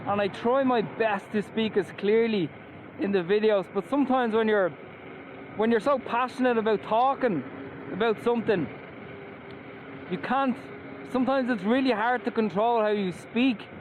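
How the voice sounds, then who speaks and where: Irish man, high voice, excited ride-along chatter, helmet on, road noise behind. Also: moderate pace, poor audio. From Ireland. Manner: enthusiastic, chatty, whoops while riding. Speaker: scooter reviewer. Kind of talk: casual ride-along vlog commentary. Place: outdoors on a moving scooter by roads. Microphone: wearing a helmet and mask. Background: traffic and wind.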